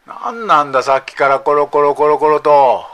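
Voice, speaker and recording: An actor playing an exasperated, apologetic young husband in a radio drama, earnest and grumbling, a little hesitant. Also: male voice, a little high, normal speed, fairly bright tone, lightly acted. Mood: baffled. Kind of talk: radio drama acting, conversational.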